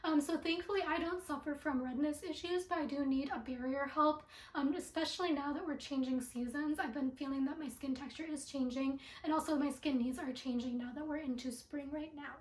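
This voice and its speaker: A young woman talks through a skincare haul in a casual vlog, filling her sentences with ums and likes. Her voice sits high and is a little raspy from allergies. The sound bounces around an echoey room. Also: fairly even intonation, brisk, few pauses. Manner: chatty with many ums and likes. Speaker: beauty product reviewer. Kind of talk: casual product review vlog.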